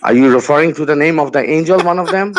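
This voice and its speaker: A man's voice: a little high, quick pace. A man calling in to a religious debate, arguing back in a defensive, dismissive way. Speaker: caller. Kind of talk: heated call-in religious debate.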